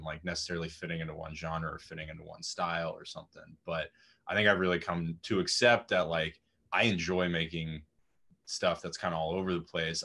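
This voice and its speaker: A young man in his twenties with a raspy voice, chatting casually as a guest musician on a video call, rambling with lots of fillers. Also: low-pitched, sharp tone. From Austin, Texas. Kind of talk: casual interview chat. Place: home room with music gear. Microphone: video call webcam.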